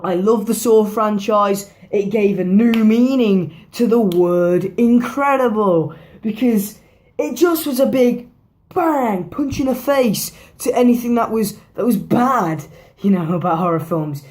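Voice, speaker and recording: A woman talks through a horror film in a loose, chatty review, her voice hoarse and worn down. She rambles, restarts her sentences and drops swear words without a second thought. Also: medium pitch, lively intonation, a little slow, a little mellow. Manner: rambling, swears freely, repeats herself. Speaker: movie reviewer. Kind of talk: casual movie review vlog.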